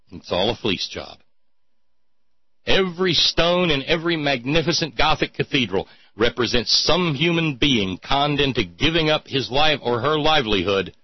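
A man hosting a talk show with a sardonic, mocking manner, reading listener notes and poking fun at what he reads. His voice is slightly husky and sits at an ordinary pitch. The mic sounds close and dry, with a slightly dull, muffled tone. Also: dry recording. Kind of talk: irreverent call-in talk show.

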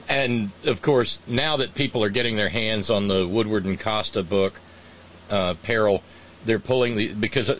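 A man's voice: medium pitch, a bit bright, rough recording. Brief: male host, mid-range voice, sarcastic and rambling, faint hiss behind. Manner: sarcastic, mocking, lots of restarts. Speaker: podcast host. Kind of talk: casual political chat.

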